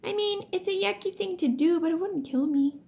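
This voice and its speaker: A woman's voice: extremely high pitch, brisk, gravelly, muffled recording, pitched above the performer's own voice. A woman voicing a reluctant, defensive schoolboy making whiny excuses in a children's storybook.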